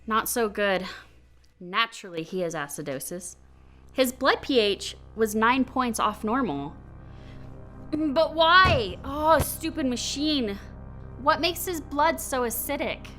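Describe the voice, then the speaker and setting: Female lab doctor, played by an actress: inquisitive, clinical, lively pitch, forward bright tone, radio drama. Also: slow pace, faint music behind, full character voice. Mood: frustrated, puzzled. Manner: questioning, exclaiming, clinical. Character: brisk, inquisitive female doctor in a secret laboratory.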